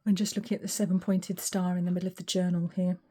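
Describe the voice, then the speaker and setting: A woman with a lowish, mellow voice reads tarot cards in an unhurried solo session. Her voice is a little weak and hoarse. She muses aloud, stopping often on ums as she looks over each card. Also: level tone. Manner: musing, thinks aloud with frequent ums. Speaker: tarot reader. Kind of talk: relaxed solo tarot reading.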